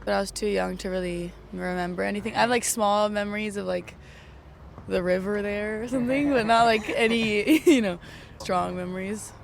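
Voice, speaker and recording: A young woman from Okinawa, a singer-songwriter, answering interview questions in a relaxed, chatty way with lots of "like"s. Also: medium pitch, moderate pace, vocal fry. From Okinawa, Japan. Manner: relaxed, chatty, laughs often, many "like"s. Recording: street noise behind.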